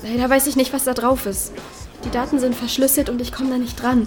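Audio drama young lead, played by a woman: high voice, troubled yet determined, casual and halting, music behind. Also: little pitch movement, lightly acted. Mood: earnest, suspicious. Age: young adult. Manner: casual, youthful slang, halting. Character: twenty-year-old woman, troubled, hesitant yet determined. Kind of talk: naturalistic audio drama dialogue.

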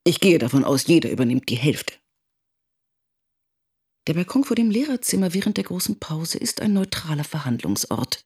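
An actor playing a coolly irritated estranged spouse in a first-person radio drama monologue. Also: male voice, on the high side, sing-song, normal speed, a little mellow, husky, exaggerated voice. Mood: irritated, matter-of-fact.

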